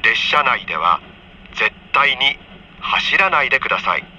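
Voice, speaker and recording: A man reading a scripted onboard train announcement for children in friendly, polite, clearly enunciated phrases, heard over a train PA. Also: medium pitch, very animated, a little slow, bright, forceful tone. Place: train car, over the PA system. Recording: dull train PA with faint noise.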